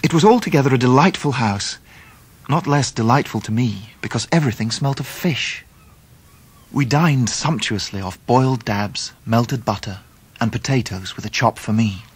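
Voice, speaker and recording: Measured English gentleman narrator, medium pitch. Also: lively intonation, husky. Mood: reminiscent. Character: grown gentleman fondly recalling his Victorian boyhood. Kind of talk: scripted radio drama narration.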